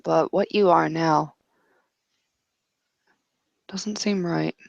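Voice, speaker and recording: Woman playing an intense, creaky-voiced fae woman. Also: deep voice, steady tone, drawn-out words, darkish tone, no echo, muffled recording, heavily acted. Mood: wounded, intense. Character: intense fiery fae woman, formerly human, brooding and stubborn. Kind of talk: tabletop roleplay, in character.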